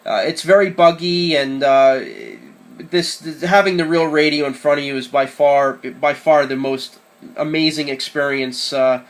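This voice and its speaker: A man's voice: a little high, steady tone, measured pace. A man chatting casually and enthusiastically as an amateur radio operator during a radio contact.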